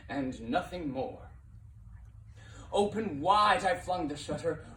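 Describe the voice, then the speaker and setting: A man giving a dramatic poetry reading as narrator, theatrical in manner, swelling from hushed to impassioned delivery. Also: on the high side. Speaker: narrator reading a poem aloud. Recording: roomy, distant mic with faint noise.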